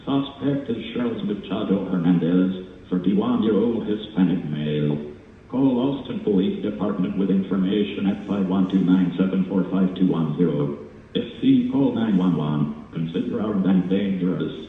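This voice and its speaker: A synthetic man's voice reading out an automated emergency alert in a flat, stilted way. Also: deep voice, normal speed. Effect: synthetic text-to-speech voice. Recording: phone-like narrowband, distant, echoing, faint noise.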